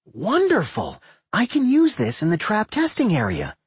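A gleeful tentacled dungeon monster, pitched high and swooping wildly. The creature is excitable and manic, exclaiming everything with eager encouragement in a fantasy storybook. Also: male voice, normal speed, husky, no echo, heavily acted. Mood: gleeful, excited.

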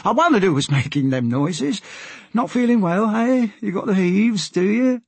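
A man's voice: sits high, expressive, normal speed, husky, no room sound, voice pushed up, heavily acted. A man voicing a nosy but deferential ship's sailor in a storybook, with a chatty, colloquial manner. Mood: deferential, teasing. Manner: colloquial, chatty, protesting politely.

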